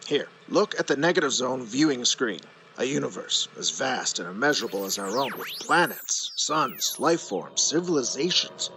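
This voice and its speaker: A man's voice: mid-range voice, big pitch swings, normal speed, hardly any pauses, pushed and bright, a little hiss or hum, low-quality recording. A man playing an eloquent, earnest superhero scientist in an audio drama, speaking in a formal, lecturing way full of wonder. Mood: awed.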